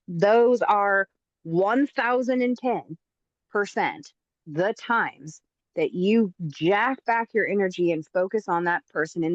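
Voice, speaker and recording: A woman co-hosting a casual podcast chat, talking in an animated, emphatic way and getting motivational. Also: on the low side, dry recording, muffled recording. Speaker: podcast co-host.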